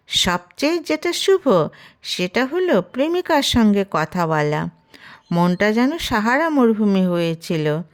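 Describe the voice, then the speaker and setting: Slow-paced woman narrating a story. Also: medium pitch. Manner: reads character dialogue within the narration. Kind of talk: scripted narration of a Bengali romantic story.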